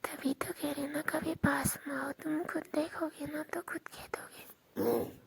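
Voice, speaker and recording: High-pitched, hushed young woman on phone. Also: steady tone, slow, creaky, whispered. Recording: noisy phone call recording.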